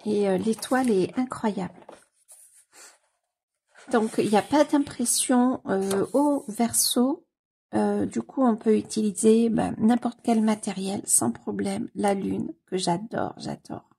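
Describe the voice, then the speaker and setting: A woman with a cold gives casual, gushing commentary as she leafs through a book, often pausing on fillers and repeating her delight. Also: mid-range voice, vocal fry, warm tone, breathy edge. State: has a cold. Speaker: coloring book YouTuber.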